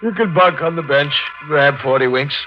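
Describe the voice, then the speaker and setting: An actor playing a probing, no-nonsense district attorney in a 1940s radio drama, with a brisk, pointed manner. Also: male voice, fairly high pitch, highs cut off, close to an everyday voice. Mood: businesslike. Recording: band-limited 1940s radio recording with music.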